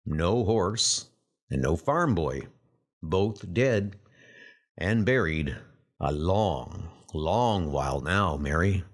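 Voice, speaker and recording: A man's voice: low-pitched, expressive, very slow, full character voice. A man playing a folksy, matter-of-fact small-town sheriff in a horror comic dub. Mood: grim, reflective. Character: small-town sheriff recounting grim old deaths.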